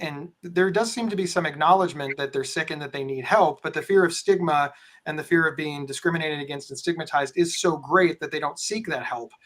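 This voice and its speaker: A man hosting a live online talk, with a big booming voice and a rambling way of talking full of restarts. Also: sharp tone. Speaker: host. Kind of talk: live webinar moderating.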